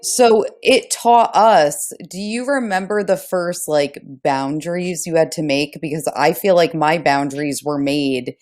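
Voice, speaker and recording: A woman with a markedly low voice chats as a podcast co-host. She is blunt and animated when telling stories and swears casually. Music sits behind her voice. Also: unhurried, few pauses. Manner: blunt, animated storytelling, profane. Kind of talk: casual conversational podcast chat.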